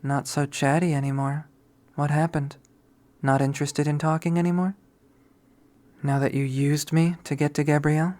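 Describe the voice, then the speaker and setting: Brief: male reader doing a resentful, cruel young woman, raised pitch, harsh and cutting. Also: flat delivery, normal speed, pauses often, close to an everyday voice. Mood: mocking. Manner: sneering, shouting, venomous.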